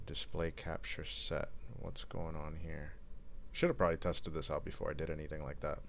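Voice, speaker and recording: Low-pitched man rambling on a live stream. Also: flat delivery, moderate pace, vocal fry, fairly bright tone, slight background noise. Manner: rambling, thinking aloud, self-deprecating. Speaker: live stream radio show host. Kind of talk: casual, unscripted live stream chat.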